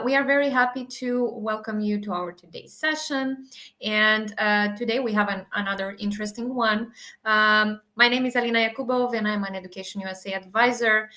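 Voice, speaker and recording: A woman's voice: mid-range voice, bright, forceful tone. A woman hosting an online webinar as an education advisor, speaking with many hesitations and fillers.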